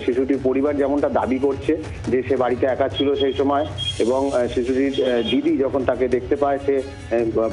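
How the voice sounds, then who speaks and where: A man with a West Bengal Bengali accent giving an unscripted field report by telephone, halting, repetitive and emphatic. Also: medium pitch, mellow, rough recording. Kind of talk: unscripted live news report. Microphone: telephone link to the studio.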